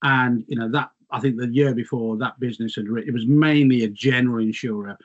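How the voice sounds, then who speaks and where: A man's voice: medium pitch, steady tone, a little fast, highs cut off. Middle-aged man telling rambling stories. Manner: rambling, self-mocking storyteller. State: slightly losing his voice. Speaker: guest. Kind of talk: casual reminiscing chat.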